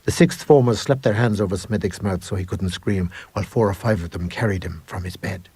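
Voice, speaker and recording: Deep, husky man reading a ghost story. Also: a little fast, dark tone, no room sound. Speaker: story reader. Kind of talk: dramatic first-person ghost story reading.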